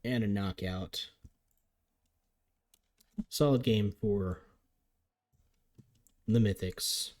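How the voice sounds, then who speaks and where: A man giving chatty, upbeat live commentary on a game as league commentator, his voice getting a little raspy. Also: fairly low pitch, extremely slow, pauses often, a little mellow. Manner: chatty, upbeat play-by-play with frequent restarts.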